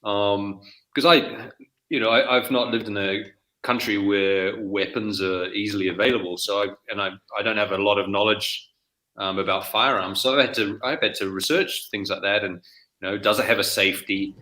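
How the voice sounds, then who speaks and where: Bright-toned man who rambles. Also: fairly low pitch, steady tone, moderate pace, low-quality recording. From New Zealand. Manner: rambling, with frequent restarts and fillers. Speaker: guest author. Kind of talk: casual interview conversation.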